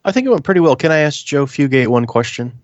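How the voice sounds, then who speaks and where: A man's voice: mid-range voice, expressive, quick pace, no echo. Joking co-host man, muffled. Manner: joking, mock-formal announcer delivery. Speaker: podcast co-host. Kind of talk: casual podcast chat.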